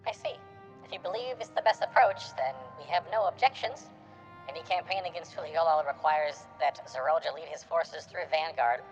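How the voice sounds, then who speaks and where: A man voicing a composed, shrewd resistance member from a video game, speaking in a measured, formal way. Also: fairly high pitch, voice pushed up, natural voice. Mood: calm, strategic. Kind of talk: acted reading of video game dialogue. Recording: dull lo-fi sound with game music.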